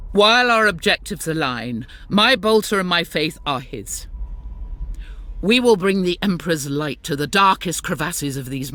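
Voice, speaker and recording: An actress playing a devout, zealous warrior nun commander in a video game, narrating in a solemn, formal, resolute manner. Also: female voice, lowish voice, a bit bright. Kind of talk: scripted game cutscene narration.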